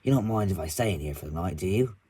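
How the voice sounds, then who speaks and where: A man voicing a kind, polite male steam engine who asks gentle, curious questions. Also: low-pitched, animated, fast talker, breathy edge.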